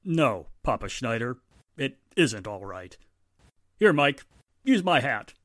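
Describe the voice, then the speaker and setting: Male actor as contrite townsman, unhurried, expressive range, plain-spoken, radio play. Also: mid-range voice, no echo, clean studio sound, heavily acted. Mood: firm, generous. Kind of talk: 1940s radio drama acting.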